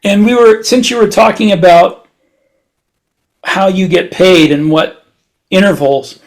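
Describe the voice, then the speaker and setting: A man co-hosting a podcast, talking casually and haltingly as he thinks aloud. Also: high voice. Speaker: podcast co-host and author. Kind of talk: casual podcast discussion of publishing.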